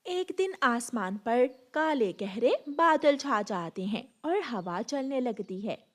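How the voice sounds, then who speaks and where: A woman's voice: a little high, lively intonation, slow, fairly bright tone. A woman narrating a children's cartoon story as a scripted storyteller.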